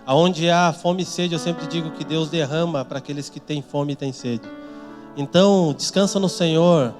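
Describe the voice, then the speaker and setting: A man leading a church service, introducing the preacher and praying earnestly over the congregation. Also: a little high. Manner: earnest, rising to fervent in prayer. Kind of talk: fervent congregational prayer. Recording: church music playing behind.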